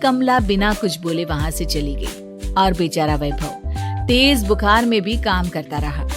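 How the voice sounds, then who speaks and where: A woman's voice: fairly low pitch, lively intonation, measured pace. A woman storyteller reading scripted narration of an emotional family story. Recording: music behind the voice.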